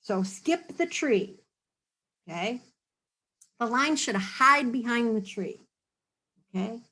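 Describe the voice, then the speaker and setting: Slow, patient woman reading aloud to kids. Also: a little high, expressive, sharp tone. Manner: patient, explains slowly, often checks in with "okay?". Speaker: storybook reader and teacher. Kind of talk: reading a picture book aloud to children, then drawing tips.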